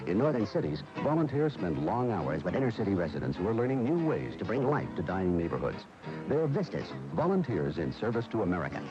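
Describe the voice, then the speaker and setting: Deep-voiced male public service announcer. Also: sing-song, fairly quick. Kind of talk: scripted radio announcement. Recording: dull AM radio recording, music behind.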